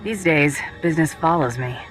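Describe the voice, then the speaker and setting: A woman's voice: deep voice, normal speed, over music, close to an everyday voice, rough recording. An actress playing a tough, businesslike female bounty hunter in a video game, terse and sardonic. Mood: cool, dry. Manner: dry, terse. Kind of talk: video game character acting.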